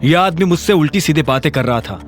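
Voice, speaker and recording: A man's voice: on the high side, lively intonation, a little fast, close to an everyday voice. A young son-in-law in an audio drama , played by a man. He is defensive and stubborn, speaking with indignant earnestness. Faint music runs behind the voice. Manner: earnest, indignant self-justifying. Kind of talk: Hindi audio drama acting.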